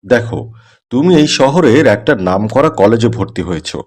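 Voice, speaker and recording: A man's voice: mid-range voice, expressive, moderate pace, darkish tone, lightly acted. A man voicing a disapproving, condescending college teacher in a moral-tale cartoon, lecturing his students.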